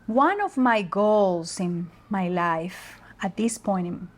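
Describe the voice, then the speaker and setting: A woman from the Dominican Republic, a native Spanish speaker, talking as a guest about leadership in a slow, thoughtful way with pauses and fillers. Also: mid-range voice, expressive. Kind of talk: interview conversation.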